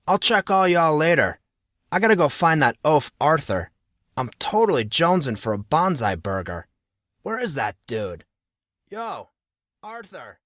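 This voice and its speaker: A man's voice: on the high side, very animated, unhurried, fairly bright tone, voice pushed up. A man voicing a laid-back teenage schoolboy who talks in breezy slang for an audio story. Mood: breezy, casual.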